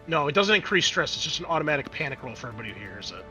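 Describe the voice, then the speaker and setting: A man acting as game master, narrating the action and explaining rules to players in an animated way. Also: on the high side, rapid-fire. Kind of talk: narrating and refereeing a tabletop roleplaying game. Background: background music.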